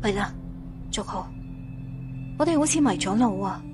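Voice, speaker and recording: A woman's voice: medium pitch, expressive, normal speed, close to an everyday voice. A woman playing an anxious young traveller in a fantasy audio story. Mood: worried, lost. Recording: music behind the voice.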